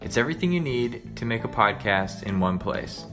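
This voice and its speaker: A man's voice: mid-range voice, animated, moderate pace, music in the background. A man hosting a podcast, reading scripted narration. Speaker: podcast host.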